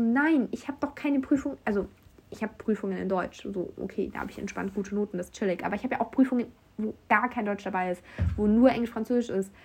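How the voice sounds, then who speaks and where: A woman's voice: medium pitch, breathy edge. Chatty young German woman telling stories. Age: young adult. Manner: chatty, animated quoting of others, frequent fillers. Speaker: university student abroad. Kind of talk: casual storytelling.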